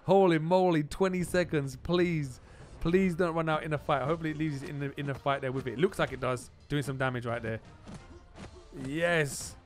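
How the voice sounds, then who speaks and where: A man giving excited video game commentary, repeating phrases and exclaiming in informal slang. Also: on the high side, normal speed. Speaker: gaming channel host. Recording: music behind the voice.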